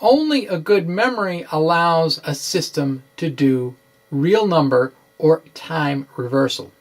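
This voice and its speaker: Slow-paced middle-aged man presenting. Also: fairly high pitch. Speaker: science blogger presenting. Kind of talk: dramatic reading of a science explainer. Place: small indoor room with bookshelves. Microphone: microphone close in front on a stand.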